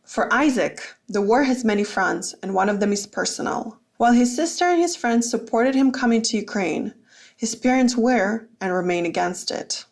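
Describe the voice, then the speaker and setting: A woman reading scripted documentary narration as a radio reporter, in a measured and factual manner. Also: fairly high pitch, animated, gravelly.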